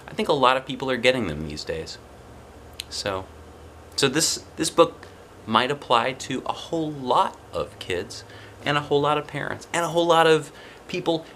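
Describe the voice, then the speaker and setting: A man's voice: medium pitch, big pitch swings, moderate pace, a bit bright. A man in his forties hosting a read-aloud storytime, chatting conversationally and putting questions to young listeners. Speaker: host reading a picture book aloud. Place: small indoor room, seated before a plain wall. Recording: clear background room noise.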